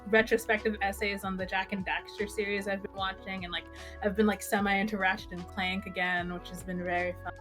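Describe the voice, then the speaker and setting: A woman's voice: mid-range voice, flat delivery, a little fast, music in the background. A woman hosting a casual fan podcast, speaking informally with lots of filler words and a bubbly manner. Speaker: podcast host.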